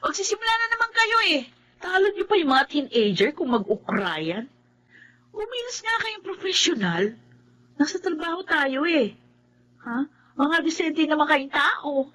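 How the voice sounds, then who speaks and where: A woman's voice: sits high, a little slow, heavily acted. A woman acting an exasperated office colleague who keeps the peace in a Filipino TV drama, with a Filipino accent. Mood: exasperated scolding. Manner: scolding, appealing for calm.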